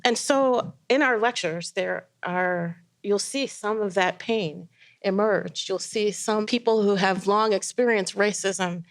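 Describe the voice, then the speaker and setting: Creaky-voiced woman speaking seriously. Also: mid-range voice, slow pace. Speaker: academic lecture series host. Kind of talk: serious, reflective introduction.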